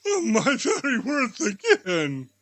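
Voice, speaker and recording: A man's voice: very high voice, wildly swooping pitch, takes it slowly, a bit bright, voice pushed up, heavily acted. A man voicing a remorseful old miser who pleads and exclaims plaintively in a storybook reading. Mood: anguished.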